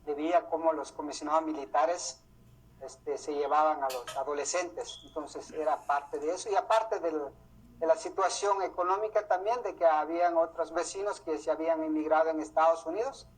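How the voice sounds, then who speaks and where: Man, middle-aged, Mam speaker, husky, halting with many fillers, radio recording. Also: on the high side, fairly even intonation. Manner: hesitant, many fillers and restarts. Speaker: author presenting his book. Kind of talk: unscripted talk. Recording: muffled radio broadcast sound.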